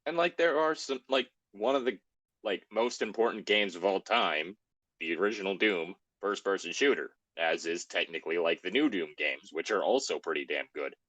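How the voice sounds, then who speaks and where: A man with a deep voice talks casually in a close, dry recording. His pitch moves around a lot as he reads and chats, and he is sweary and self-deprecating. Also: lively intonation, normal speed, a bit bright, dry recording. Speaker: co-host reading an online story aloud. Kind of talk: casual group chat and story reading.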